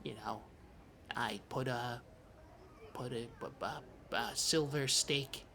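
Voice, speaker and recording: A podcast host chatting in an improvised comedy, halting and stammering like a nervous, gullible, childlike character. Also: mid-range voice, vocal fry, sharp tone, soft background music.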